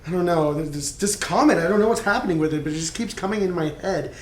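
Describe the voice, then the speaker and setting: Higher-voiced man playing a tabletop game. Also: fast. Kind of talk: casual in-game chat and roleplay. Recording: echoey room, faint background noise.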